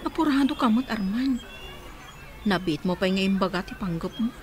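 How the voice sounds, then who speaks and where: A woman's voice: mid-range voice, lively intonation, moderate pace, close to an everyday voice. A middle-aged woman in a radio drama playing a playful, hesitant woman who is being courted. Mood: teasing.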